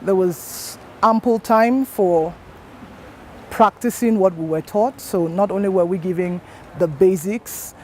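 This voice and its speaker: A woman's voice: mid-range voice, measured pace, warm tone, slight background noise. A woman, a trainee scientist speaking in a composed, articulate way in an interview. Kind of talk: interview testimonial.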